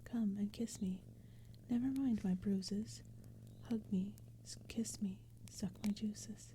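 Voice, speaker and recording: Woman whispering as a fervent young sister, very soft. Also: medium pitch, takes it slowly, close to an everyday voice. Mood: tender urging. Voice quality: breathy whisper. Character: devoted young sisters, breathless and impassioned. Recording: steady background ambience noise. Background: crackling fire and forest sounds.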